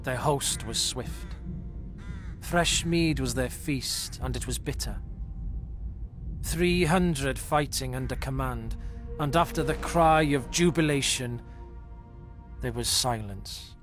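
Man slowly reading an ancient elegy. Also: medium pitch, faint music behind. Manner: solemn, deliberate recitation. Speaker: reader of the poem in translation. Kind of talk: slow dramatic poetry reading.

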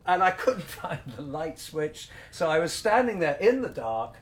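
Nervous elderly visitor, apologetic, rambling, radio drama, lively intonation. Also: male voice, on the high side, unhurried, a little hiss or hum. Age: 60s. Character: polite elderly stranger, nervous, old-fashioned. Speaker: unexpected visitor.

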